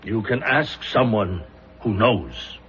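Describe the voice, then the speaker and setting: An actor playing a pragmatic, skeptical defense lawyer in a radio drama, measured and persuasive with deliberate pauses. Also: male voice, lowish voice, over loud music. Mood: probing. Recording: muffled, noisy old radio recording.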